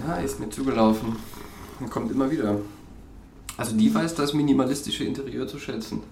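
A man's voice: medium pitch, fairly quick, creaky, a little mellow, reverberant, distant mic, a little hiss or hum, lightly acted. An actor playing an evasive male tenant, hesitant and stammering, in a naturalistic audio drama. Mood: casual, sheepish. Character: evasive male urban tenant on an awkward first date.

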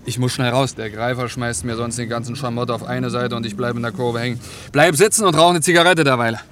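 An actor playing an easygoing, teasing truck driver in a radio drama, talking in a casual, practical way. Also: male voice, steady tone, brisk, a bit bright, close to an everyday voice. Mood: practical, bantering. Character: easygoing, bantering male gravel-truck driver. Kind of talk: naturalistic radio drama acting. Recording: noisy, with truck engine rumble. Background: vehicle noise.

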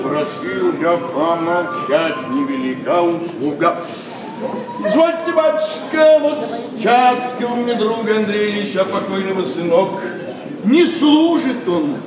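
Fussy, grumbling old patriarch, unctuous stage declamation, unhurried, reverberant hall with loud music. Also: male voice, sits high, distant mic. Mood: chiding, fussy. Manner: declamatory, sing-song, unctuous. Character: pompous ageing Moscow gentleman, fussy and grumbling father. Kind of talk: theatrical verse radio play acting.

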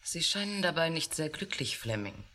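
A sceptical, composed woman press officer in a 1980 radio drama. Her delivery is animated and wry, and down-to-earth. A faint hiss sits on the recording. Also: sits low, normal speed, heavily acted. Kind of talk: 1980 science fiction radio play acting.

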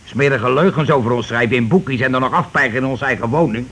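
An actor playing a bossy, indignant, petty working-class husband in a Dutch radio play, with a blustering, colloquial way of talking. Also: male voice, mid-range voice, quick pace, a bit bright, breathy edge. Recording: noisy old radio play recording.

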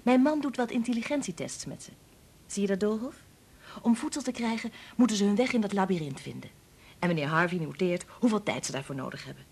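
An actress playing a brisk, capable statistician and mother in a Dutch radio play, firm and gently teasing. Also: medium pitch, slightly rough.

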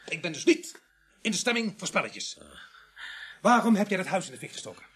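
An actor playing a weary, irritable police sergeant in a radio crime drama, gruff, sardonic and commanding. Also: male voice, sits high, sing-song, brisk, fairly bright tone, exaggerated voice. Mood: irritated, threatening. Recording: noisy old radio drama recording.

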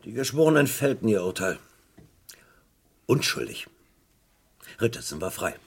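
A man playing a disillusioned, bitter police detective in a crime radio play, speaking emphatically in a husky voice. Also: on the low side, very animated, a little slow, heavily acted. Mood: bitter recounting. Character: embittered, disillusioned English police forensic detective. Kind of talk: German crime radio play, recounting a case.

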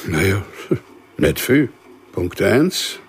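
An actor playing an unremarkable, quietly dangerous civil servant in a radio crime drama, speaking methodically with weighty pauses. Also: male voice, fairly low pitch, big pitch swings, slightly rough, full character voice. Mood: dry, methodical. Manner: deliberate, enumerating, with weighty pauses.

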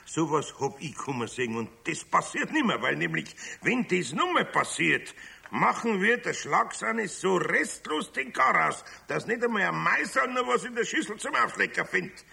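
A man's voice: sits high, sing-song, normal speed, pushed and bright, exaggerated voice. An actor playing a good-natured, grumbling older craftsman with a Bavarian accent, homely and placating, in a children's radio play. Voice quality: gravelly, husky.

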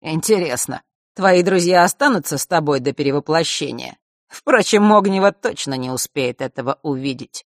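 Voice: mid-range voice, lively intonation, normal speed, dry recording, studio quality, close to an everyday voice. A reader playing a smug, venomous young man.

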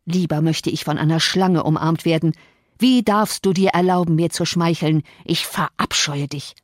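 A woman's voice: sits low, moderate pace, no room sound, clean studio sound, close to an everyday voice. A woman reading an audiobook voices a cruel, scornful middle-aged man with a sneering, menacing manner. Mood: contemptuous. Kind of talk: audiobook character voice.

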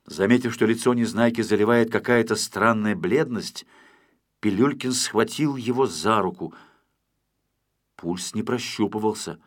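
A man's voice: fairly low pitch, a little slow. A man narrating a children's story expressively as an audiobook reader.

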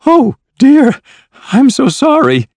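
A man voicing a flustered, high-strung upper-class hostess with an unnatural, agitated manner in a storybook reading. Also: measured pace, dark tone, no room sound. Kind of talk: storybook character voice.

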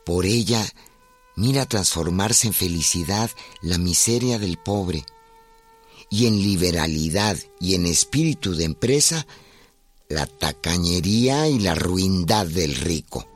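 An actor as the solemn narrator of a radio biography, measured and emphatic in a literary scripted style. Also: male voice, low voice, animated, faint music behind.

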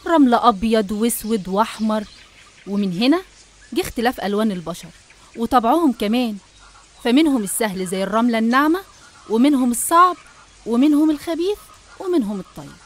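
Fast-talking Egyptian woman narrator. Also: a little high. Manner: didactic, addressing the listener directly. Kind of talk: scripted religious storytelling narration.

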